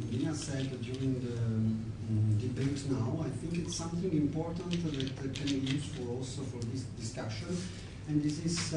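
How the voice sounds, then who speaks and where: Male seminar debater, non-native English accent, emphatic and hesitant by turns; heavily reverberant room, clear noise. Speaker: academic seminar participant. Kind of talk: unscripted academic discussion. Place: large echoey room at an academic workshop. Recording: very echoey room, distant mic, noisy, rumble.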